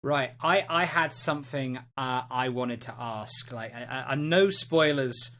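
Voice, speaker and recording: A podcast host chatting casually about video games, in a halting way that circles back over words. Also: medium pitch, a bit bright.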